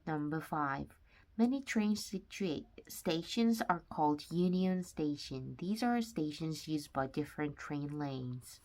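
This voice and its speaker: A woman reading a children's nonfiction book aloud with simple, deliberate phrasing, sometimes stumbling over words. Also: sits low, expressive, darkish tone. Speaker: read-aloud narrator of children's books.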